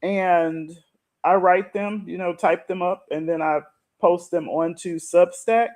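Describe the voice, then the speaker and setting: A woman's voice: on the low side, takes it slowly, a little mellow. A middle-aged woman talking casually and explaining her routine as a guest. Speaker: guest, retirement and money coach who writes articles.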